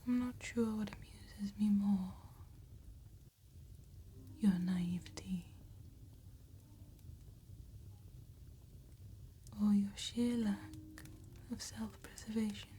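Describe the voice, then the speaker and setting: A woman playing an ancient, calm and seductive female vampire tattooist in a soft-spoken, whispered ASMR roleplay, with measured, formal phrasing. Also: medium pitch, faint music behind, lightly acted. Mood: amused, aloof. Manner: slow, measured, formal, old-fashioned phrasing.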